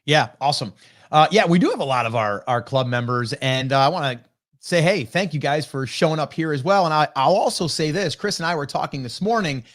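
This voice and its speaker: A man in his forties hosting a casual livestream podcast, chatty and upbeat with loose fillers, his voice still slightly hoarse from a cold, speaking into a desk mic on a video call. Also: a little high, animated, fairly quick, a bit bright. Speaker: podcast host.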